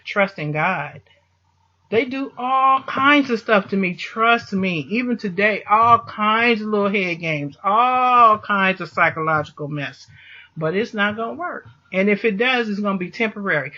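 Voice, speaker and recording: Male vlogger, high and sharp-edged voice, impassioned religious pep talk, insistent repetition, muffled lo-fi sound. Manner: emphatic, repeats phrases for stress. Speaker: vlogger answering a listener. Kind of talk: impassioned motivational monologue with religious encouragement.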